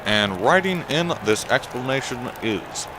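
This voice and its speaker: An actor playing an earnest play-by-play anchor, mock-serious in a parody of sports commentary. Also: male voice, mid-range voice, big pitch swings, a little slow. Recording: very noisy broadcast sound.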